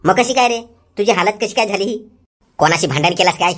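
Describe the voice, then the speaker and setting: A man voicing a nosy, teasing young village friend in a comic cartoon, with blunt, animated questions and a rural Palghar Marathi accent. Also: high voice, quick pace, very noisy. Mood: curious, teasing. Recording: loud music behind the voice.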